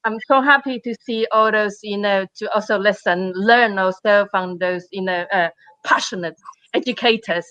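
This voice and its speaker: A woman from Taiwan, a painter speaking on a panel in halting English with many fillers and restarts. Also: mid-range voice, pushed and bright, dry recording, dull audio. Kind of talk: panel discussion.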